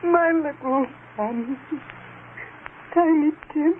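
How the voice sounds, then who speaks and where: A narrow, faintly noisy old radio recording. a grieving mother in a period drama, tender and sorrowful. She speaks very slowly, in a fairly high voice. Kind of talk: vintage radio drama acting.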